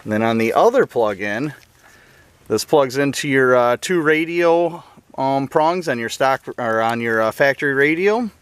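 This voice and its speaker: A man's voice: medium pitch, expressive, a little slow, clean tone. A man talking informally to viewers as he explains a hands-on car project, in a do-it-yourself vlog style. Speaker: car restoration vlogger. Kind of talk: casual do-it-yourself vlog narration.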